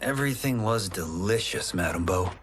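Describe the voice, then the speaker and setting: Earnest young farmer with a lowish voice. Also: male voice, animated, moderate pace, fairly bright tone, slight background noise. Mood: friendly, teasing. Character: modest, contented young male farmer and martial artist. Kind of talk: cinematic video game acting.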